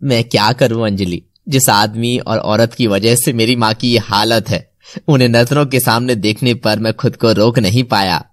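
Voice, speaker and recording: A young cartoon prince voiced by a man, anguished and set on revenge. His voice is medium in pitch and full of melodrama. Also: normal speed, close to an everyday voice. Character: anguished, vengeful young male serpent prince. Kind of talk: dramatized animated fairy-tale acting.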